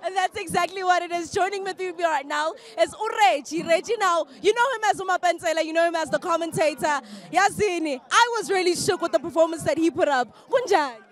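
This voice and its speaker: A woman interviewing players pitchside at an outdoor match, upbeat and quick, mixing English with Zulu. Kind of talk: sports event interview.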